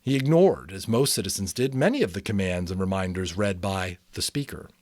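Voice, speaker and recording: Male amateur narrator, expressive and animated, dramatic book reading, dry recording. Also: sits low, fairly quick, dry recording. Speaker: amateur voice actor reading an audiobook, commenting. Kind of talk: dramatic audiobook reading with casual commentary.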